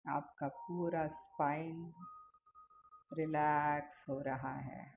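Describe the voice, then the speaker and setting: Indian female meditation guide, low-pitched and soothing, unhurried instructional delivery with long pauses, slightly noisy recording. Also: steady tone, vocal fry, a little mellow. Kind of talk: guided body-scan meditation. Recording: dull lo-fi sound with faint noise.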